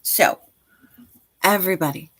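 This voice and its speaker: A woman's voice: lowish voice, measured pace, a bit bright, dry recording. A woman in her forties chatting casually on a livestream as host, speaking directly to listeners in an encouraging, reassuring way. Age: 40s.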